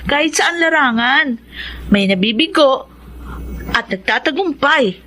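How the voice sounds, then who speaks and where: A woman's voice: sits high, lively intonation, unhurried, pushed and bright, full character voice. An actress playing a frank, persuasive young woman confidante in a Filipino radio drama. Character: frank young female confidante, reasoning and scolding.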